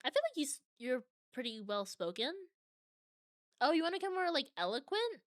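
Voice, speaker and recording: A woman's voice: high-pitched, very animated, fairly quick, no echo, studio quality. A young adult woman streaming from home, chatting casually with viewers and answering their comments.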